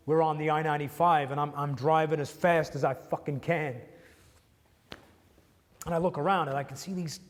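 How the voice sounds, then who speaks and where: Actor as a raw, profane widower. Medium-pitched, halting, in a big reverberant space. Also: male voice, lightly acted. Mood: fervent. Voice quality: profane, halting with repeated restarts. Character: grieving widower, raw, blunt and tender. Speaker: widower speaking to a grieving listener. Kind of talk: emotional dramatic monologue.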